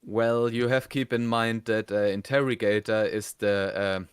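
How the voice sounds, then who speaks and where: A man co-hosting a casual review, explaining things in a halting, conversational way. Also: on the low side, fairly even intonation, clean tone, no echo, clean studio sound. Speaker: review show co-host.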